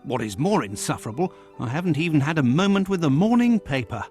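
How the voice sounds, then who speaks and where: An actor playing a genial, busy Victorian physician in a video game, chatty and formal in an old-fashioned way. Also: male voice, fairly high pitch, big pitch swings, quick pace, a little mellow, soft background music. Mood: chatty, exasperated. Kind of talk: video game cutscene acting.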